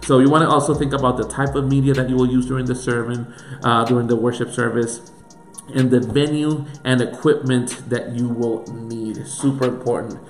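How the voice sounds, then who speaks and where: A pastor with a Puerto Rican accent teaches in an earnest, emphatic way, working through one question after another. His voice sits at an ordinary middle pitch for a man. It is recorded in an echoey room with soft music underneath. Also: steady tone, moderate pace. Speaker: pastor and church planter. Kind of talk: teaching talk.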